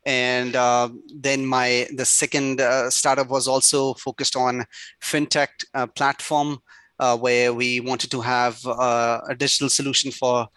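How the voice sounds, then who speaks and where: Male guest, bright, level delivery, hesitant with many fillers, dry sound. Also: medium pitch, little pitch movement, a little slow, no room sound. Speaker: startup CEO, guest. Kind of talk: interview answers.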